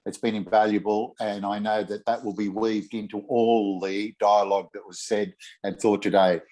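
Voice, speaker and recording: A man's voice: lowish voice, steady tone, moderate pace, a little mellow, rough recording. An older man moderating an online panel, thanking each guest in effusive, formal words over a video call.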